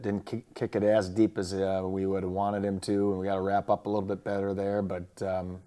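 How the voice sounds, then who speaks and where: American guy, football coach going over game highlights, low voice, pretty flat, lots of you knows. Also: smooth. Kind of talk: casual sports recap and highlight commentary.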